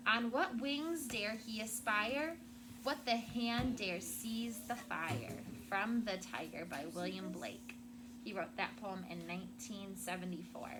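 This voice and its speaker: A woman's voice: medium pitch, background noise. A woman schoolteacher reading aloud and talking to children in a classroom, explaining in simple words and checking in with questions.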